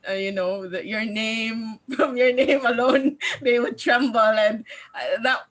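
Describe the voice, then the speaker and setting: Woman, show host, slightly high voice, slow pace, halting, giggly. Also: fairly bright tone. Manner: halting, many fillers, giggly. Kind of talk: casual interview chat.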